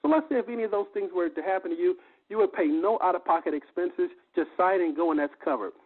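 A man giving an emphatic, repetitive sales pitch with rhetorical questions, presenting on a telephone conference call. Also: fast talker, breathy edge. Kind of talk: sales pitch explaining benefits. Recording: muffled phone conference line, lo-fi.

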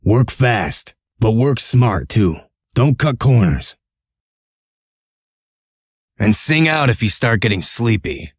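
A man's voice: mid-range voice, big pitch swings, slow pace, pauses often, breathy edge, no echo, clean studio sound, full character voice. A man voicing a stern, shrewd leader of a covert break-in in a comic dub, giving curt, authoritative orders. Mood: commanding.

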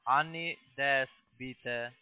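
A man who is not a native speaker, giving instructions on a phone call in halting, broken sentences as a call centre agent. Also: medium pitch, no echo. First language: not German. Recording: tinny narrowband phone line.

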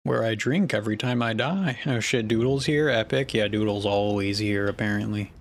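A young man streaming a game from a small room on a headset, mostly monotone and self-deprecating, swearing and answering chat. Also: lowish voice, moderate pace.

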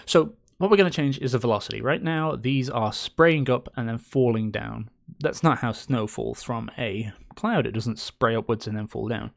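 Upbeat man walking through a software tutorial. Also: medium pitch, normal speed, gravelly, few pauses. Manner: upbeat, chatty, with playful little cheers. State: slightly hoarse from a coming cold. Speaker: game engine tutorial host. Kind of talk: screen-recorded software tutorial.

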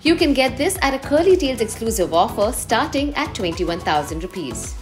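A woman reading scripted promotional travel narration in a polished, expressive way. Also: a little high, normal speed. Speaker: voice-over narrator. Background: background music.

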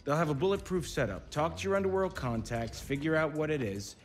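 A man's voice: fairly high pitch, brisk, soft background music, natural voice. An actor playing a determined young male street racer in a video game, earnest and confident as he leads his crew. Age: young adult. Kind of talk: video game cutscene acting.

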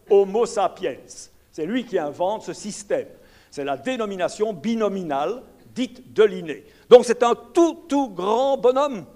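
An older man with a Belgian French accent giving a public lecture, emphatic and full of rhetorical asides to his audience. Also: high-pitched. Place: lecture hall.

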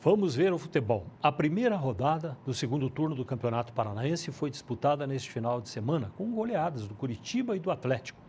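A man's voice: mid-range voice, lively intonation, a little mellow, a little hiss or hum. Middle-aged male TV news anchor. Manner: measured, formal anchor delivery. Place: TV news studio.

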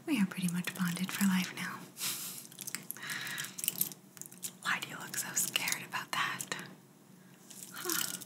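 A young woman voicing a calm, clinical female alien examiner in a breathy, gently reassuring ASMR roleplay whisper, close to the microphone. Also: lowish voice, moderate pace, lightly acted. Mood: softly teasing. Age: young adult.

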